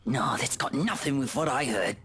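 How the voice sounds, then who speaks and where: A man voicing an excitable, gossipy teenage student in a visual novel, insistent and slangy. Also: medium pitch, normal speed, voice pushed up, lightly acted. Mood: eager, spooky.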